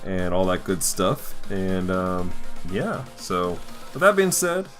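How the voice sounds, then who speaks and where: A man with a low voice hosts a casual podcast. His pitch moves around a lot, and he comes across as jovial and quick to laugh. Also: big pitch swings, a bit bright, faint music behind. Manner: jovial, laughs easily while talking. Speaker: podcast host.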